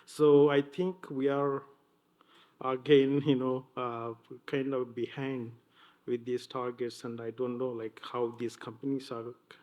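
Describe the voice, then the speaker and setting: A man on a business panel speaks in a flat, even tone. He is hesitant and searches for his words as he goes. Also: mid-range voice, a little slow. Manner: hesitant, many fillers and restarts. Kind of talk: panel discussion.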